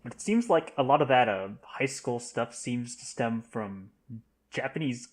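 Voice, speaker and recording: A man's voice: mid-range voice, expressive, unhurried. A man in a casual panel chat, speaking hesitantly and searching for his words. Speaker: podcast panelist.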